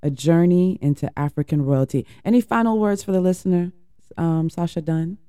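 A man's voice: fairly high pitch, moderate pace, mellow, clean tone, dry recording, studio quality. A man hosting an interview, asking polite questions and giving short affirmations. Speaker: host.

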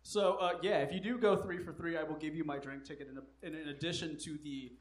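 A man's voice: a little high, fairly even intonation, quick pace. A man hosting a live comedy quiz, joking, swearing and laughing often. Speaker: comedy show host. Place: live comedy venue with audience. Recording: echoey live room, faint noise.

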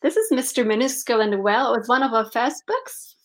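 A woman's voice: a little high, sing-song, fast talker. A woman from Belgium, a children's book publisher, talking on a video call with many restarts and frequent laughs. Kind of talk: remote interview.

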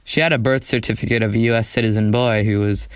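A man's voice: on the low side, steady tone, quick pace, smooth, no room sound, clean studio sound. A young man narrating his own story in a wry, reflective way. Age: young adult. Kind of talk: scripted narration of a memoir drama.

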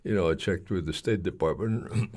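An older man speaking as an interview guest in a halting, rambling, conversational way, often repeating his phrases. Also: sits low, a little mellow, husky.

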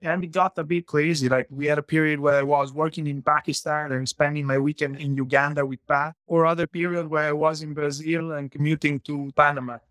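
A man's voice: fairly high pitch, level tone, brisk, hardly any pauses, fairly bright tone, no echo. A man in his forties from Italy with an Italian accent, a guest telling his story in a loose, conversational way. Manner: loose, sprinkled with "like", non-native grammar. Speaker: guest, search fund entrepreneur. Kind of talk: conversational interview.

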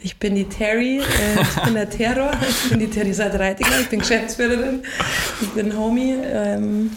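A woman's voice: fairly high pitch, fairly even intonation, moderate pace. A woman from Bavaria, Germany, chatting playfully as a guest in a casual podcast interview recorded in an office. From Germany, Bavaria (Ingolstadt). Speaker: podcast guest, managing director. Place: office room. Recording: roomy echo, clear background noise.

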